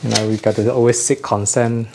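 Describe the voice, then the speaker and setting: A man giving a casual unboxing chat as a host, often pausing to rephrase himself. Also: on the low side, level tone, creaky, background noise. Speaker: host of an audio gear channel.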